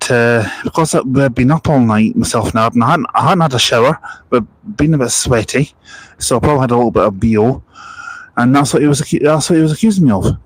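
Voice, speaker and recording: A man's voice: medium pitch, no room sound, poor audio. A young man chatting casually on a live stream at a bus park and ride, rambling between stories, spotting passing buses and reading out viewer comments.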